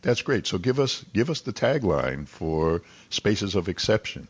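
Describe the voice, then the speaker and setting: A man's voice: fairly low pitch, very animated, a little slow, breathy edge, lo-fi sound. A man hosting a live radio interview show, speaking to his guests and listeners. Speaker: radio host.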